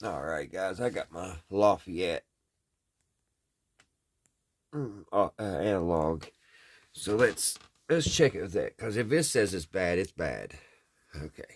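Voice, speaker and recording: A man's voice: low-pitched, expressive, slow pace, pauses often, breathy edge, no room sound. An American man talking casually and folksily, thinking aloud as he hosts a hands-on repair vlog. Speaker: host of a radio repair video.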